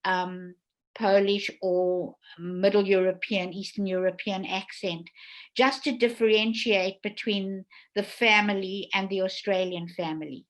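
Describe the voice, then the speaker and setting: A woman hosting an online panel talk, explaining things deliberately and relaying questions from attendees. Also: mid-range voice, fairly even intonation, rough recording. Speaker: host and theatre director.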